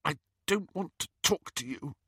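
A man's voice: on the high side, lively intonation, normal speed, fairly bright tone, husky, dry recording, clean studio sound, voice pushed up. A man voicing a sensitive but proud young girl in an audiobook, earnest, pleading and wounded in manner. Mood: tearful, upset. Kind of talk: audiobook character reading.

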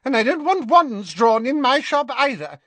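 Madame Malkin, a man doing a flustered shrill seamstress. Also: very animated, normal speed, fairly bright tone, no echo, full character voice. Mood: fussing. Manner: anxious, pleading. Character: fussy, flustered older woman shopkeeper.

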